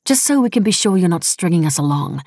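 A female narrator plays a prickly, scornful woman in an audiobook novel. Her voice is husky and full of fry, close and dry. She talks bluntly and sarcastically, with a casual, defensive edge. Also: fairly low pitch, sing-song, quick pace, a little mellow, dry recording. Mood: earnest, frustrated. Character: defensive, scornful, foul-mouthed woman activist, earnest and prickly.

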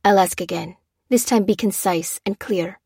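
A woman's voice: mid-range voice, moderate pace, warm tone, husky, dry recording, clean studio sound. A woman voicing a stern middle-aged army major who questions in clipped, controlled sentences. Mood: cold.

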